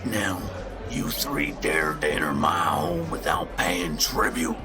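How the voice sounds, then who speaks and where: A man's voice: very deep voice, slow pace, over music, full character voice. A man voicing a scornful, menacing shifter pack leader who gloats and drops into hissed whispers. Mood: threatening. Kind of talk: audio drama acting.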